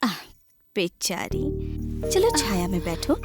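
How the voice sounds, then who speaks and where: A woman playing a calm, indulgent village mother in a children's cartoon, soothing and gently teasing. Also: mid-range voice, slow, over music. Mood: amused, soothing.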